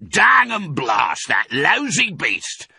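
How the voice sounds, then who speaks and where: A man voicing a fat, furious chicken farmer who blusters and shouts in a storybook. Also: mid-range voice, huge pitch swings, normal speed, vocal fry, husky, no room sound, voice pushed up, full character voice.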